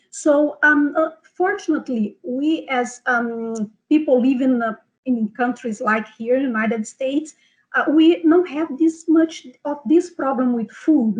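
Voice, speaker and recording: Brazilian lady teaching a nutrition class, kinda high voice, lots of ums and restarts. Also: dull audio. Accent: Brazilian Portuguese. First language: Portuguese. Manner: frequent fillers and restarts, speaks directly to the audience. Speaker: seminar presenter. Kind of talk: informal health seminar talk.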